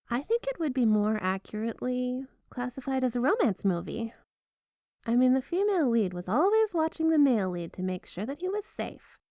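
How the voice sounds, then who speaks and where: A woman's voice: fairly high pitch, no echo. Woman acting a sweetly menacing, flirty yandere wife, close and dry. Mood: coy, flirty, then dreamy, admiring. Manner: gushing, coy, giggly, with dark possessive undertones. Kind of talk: ASMR roleplay, addressing the listener as spouse. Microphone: close, dry microphone.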